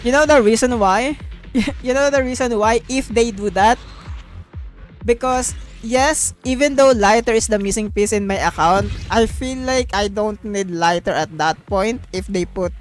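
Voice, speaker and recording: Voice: medium pitch, a little slow, faint music behind. A streamer chatting casually on a livestream in a rambling way, often repeating phrases.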